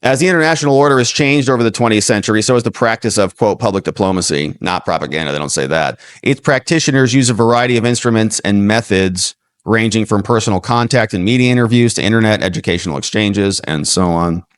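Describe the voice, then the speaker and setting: An American man with a fairly low voice delivers opinionated livestream news commentary. He leans on rhetorical questions, and the sound is dry and close to the microphone. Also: fast talker, few pauses, clean tone, dry recording. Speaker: political commentator and show host. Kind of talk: livestream news commentary over played clips.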